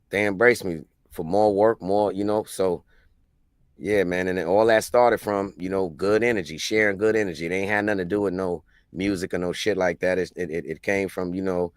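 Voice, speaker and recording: A man's voice: low-pitched, no room sound, studio quality. A man from Albany, New York, a musician and producer, talking in a laid-back, rambling way on an online call. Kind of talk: casual chat.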